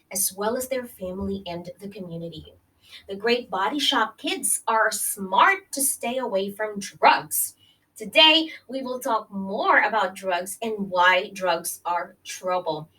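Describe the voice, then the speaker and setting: A woman with a fairly high voice leads a children's read-along lesson at a very slow pace. She speaks straight to her young listeners, pausing to explain and check in. The recording places her a little away from the microphone. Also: bright, forceful tone, far from the mic, rough recording. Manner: addresses young listeners directly with friendly check-ins like "okay?" and "right?". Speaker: teacher reading aloud to children.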